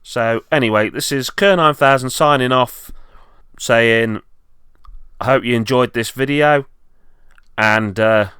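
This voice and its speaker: A man's voice: mid-range voice, slow pace, pauses often, fairly bright tone, clear, resonant tone, no room sound, studio quality. A man presenting an enthusiastic fan update as a video host, speaking in an earnest way.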